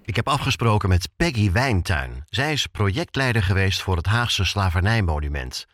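Low, animated male podcast host. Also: moderate pace, no echo. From Netherlands. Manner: conversational, addresses the listener directly. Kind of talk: scripted podcast narration.

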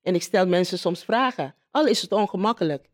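A woman giving a spontaneous personal account in an interview, haltingly, repeating phrases as she searches for words. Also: medium pitch, expressive. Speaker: interviewee, local politician.

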